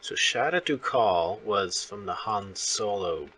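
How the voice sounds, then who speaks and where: A man's voice: on the low side, animated, slow, fairly bright tone, husky. A man hosting an interview in casual fan chat, talkative and full of opinions. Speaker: interview host.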